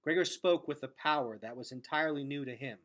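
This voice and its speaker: A man reading a story aloud in a dramatic, emphatic and expressive narrating style. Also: mid-range voice, a little fast. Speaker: story reader.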